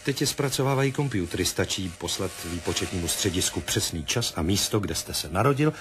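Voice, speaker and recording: A man's voice: sits low, expressive. An actor playing a reserved military investigator, answering his superior tersely and matter-of-factly in a radio play.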